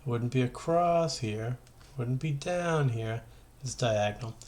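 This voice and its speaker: A man's voice: medium pitch, a little slow, a little mellow. A man giving an informal math lesson as a teacher, talking conversationally and thinking aloud as he works through steps. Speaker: high school math teacher.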